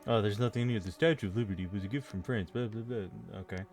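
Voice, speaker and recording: Male let's-player, lowish voice, reading on-screen text aloud a bit haltingly, game music clearly behind. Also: a little mellow. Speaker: let's play streamer.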